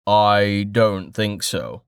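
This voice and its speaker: A man voicing a calm, defiant merchant father with a deep, rich, measured baritone in an audiobook. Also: clean tone, no room sound, studio quality. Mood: calm, firm.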